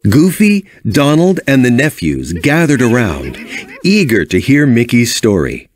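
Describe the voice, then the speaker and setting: A man's voice: medium pitch, huge pitch swings, unhurried, no echo. A man narrating a children's read-along storybook from a script.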